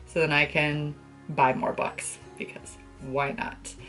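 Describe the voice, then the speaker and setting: Low-pitched young woman, expressive book vlogger. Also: slow pace, faint music behind, rough recording. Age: young adult. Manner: expressive, gushing, emphatic. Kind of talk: casual chat to camera. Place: small bedroom, indoors.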